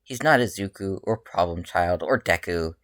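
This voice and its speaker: A person reading their own fan fiction aloud as narrator, with expressive, emphatic delivery. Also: sits low, normal speed, no echo, studio quality.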